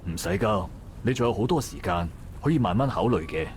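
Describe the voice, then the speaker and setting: An actor playing a calm, steady male dockworker who gently reassures a friend in a visual novel. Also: on the low side, brisk, a little hiss or hum, close to an everyday voice. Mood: gentle reassuring. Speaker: game character. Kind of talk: voiced visual novel dialogue.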